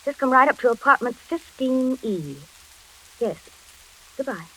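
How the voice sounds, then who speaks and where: A woman's voice: sits high, lively intonation, a little slow, noisy background. An actress playing an earnest, anxious but determined young woman in 1940s radio drama style. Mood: polite. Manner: earnest, hesitant then insistent.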